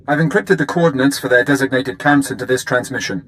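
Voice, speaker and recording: A man's voice: mid-range voice, fast, a bit bright, lightly acted. An actor playing a stern, smug male prison captain in a video game, delivering a formal official report. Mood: officious, smug.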